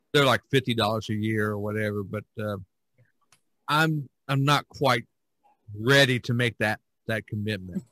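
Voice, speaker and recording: A man hosting a casual podcast discussion, speaking haltingly and repeating words. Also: mid-range voice, bright, forceful tone, no echo, rough recording. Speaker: podcast host and artist.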